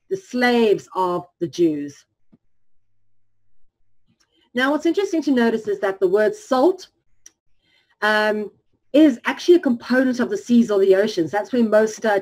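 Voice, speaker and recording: A woman giving a lecture-style presentation, talking in a measured way with frequent ums and restarts, drawing out key words slowly. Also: medium pitch, darkish tone, rough recording.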